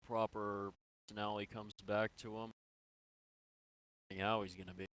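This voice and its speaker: A man playing a calm military onlooker, chatting casually in an online sci-fi roleplay over voice chat. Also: lowish voice, moderate pace, lightly acted, low-quality recording.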